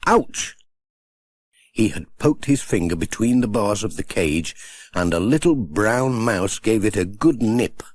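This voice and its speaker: A man's voice: lowish voice, lively intonation, unhurried, darkish tone, no room sound. A man narrating a children's story as an audiobook reader.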